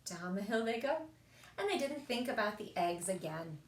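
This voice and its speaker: A woman reading children's stories aloud as a story time reader, in an expressive, animated storytelling style. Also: mid-range voice, moderate pace. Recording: echoey room.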